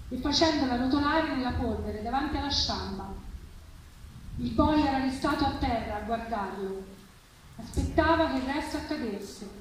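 A woman giving an expressive, theatrical reading of literary prose at a live event. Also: sits high. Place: live public reading at a festival, distant mic. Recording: echoey, distant, noisy live event recording.

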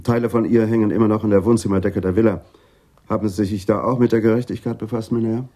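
An actor playing a dry, persistent police detective questioning a suspect in a radio drama, deadpan and probing. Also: male voice, fairly low pitch, fast, natural voice. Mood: stern, dry. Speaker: interrogating police officer.

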